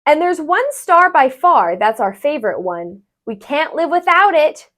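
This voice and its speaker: A woman tutor reading a rhyming children's book aloud, expressively and deliberately, with sing-song phrasing. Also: high voice, big pitch swings, fairly bright tone.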